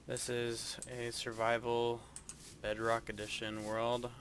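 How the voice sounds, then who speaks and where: A man giving a casual, hesitant walkthrough of his game base, speaking in a flat monotone with frequent ums. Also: medium pitch, slow pace, very noisy. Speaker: gaming video creator.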